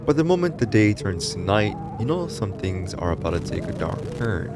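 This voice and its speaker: Deep-ish guy doing scripted horror explainer narration, ominous and dramatic, music clearly going behind him. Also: sing-song, moderate pace.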